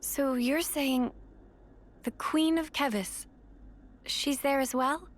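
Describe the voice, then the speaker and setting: An actress playing a gentle, earnest young soldier who speaks softly and a little hesitantly. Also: female voice, high-pitched, slow pace, a little hiss or hum. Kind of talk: video game cutscene voice acting.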